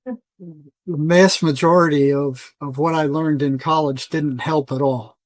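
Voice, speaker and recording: An older man answering as an interview guest in a conversational, halting way, often repeating words and restarting. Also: a little high, steady tone, no echo, low-quality recording. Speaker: interview guest, retired psychotherapist.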